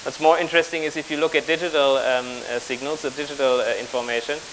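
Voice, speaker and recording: A man in his thirties speaking haltingly as he improvises a live technical demonstration as a conference speaker. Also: a little high, flat delivery, noisy background. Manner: halting, improvising while working at a laptop. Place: large auditorium on stage with audience.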